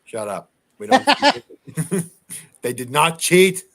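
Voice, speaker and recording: A man's voice: a little high, wildly swooping pitch, slow pace, a bit bright. A man from Boston with a Boston accent, bantering and teasing in a casual livestream chat.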